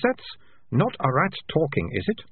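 Bossy scornful girl, high and husky, as a male narrator puts her on, tinny dry recording. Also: huge pitch swings, moderate pace, heavily acted. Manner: scornful, indignant. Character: sharp-tongued, bossy young girl.

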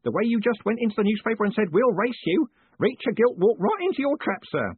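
A sly old male worker for a storybook, with a husky cackle and a high, leaping pitch. He wheedles and defers, with a stubborn edge of defiance. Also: very animated, fast talker, no room sound, phone-quality sound, full character voice. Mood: conspiratorial, defiant.

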